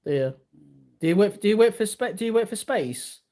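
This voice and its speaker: A man in his early forties with a slightly high voice speaks off the cuff to his viewers. He rambles in a casual, boastful way, circling back and repeating his phrases. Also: lively intonation, fairly quick, dark tone. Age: early 40s. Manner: repetitive, boastful. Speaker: martial arts vlogger.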